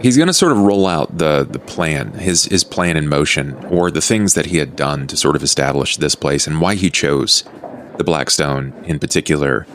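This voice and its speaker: A man's voice: rumbling low, normal speed, a bit bright, slight background noise. A man describing his character's plans out of character as a player in a tabletop roleplay game. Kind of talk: out-of-character narration of play.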